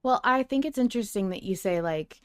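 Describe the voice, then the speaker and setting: A woman's voice: mid-range voice, animated, brisk, smooth, dry recording, clean studio sound. A woman co-hosting a podcast, chatting casually and informally, often dropping in "like" as she goes. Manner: informal, peppered with "like". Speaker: podcast co-host.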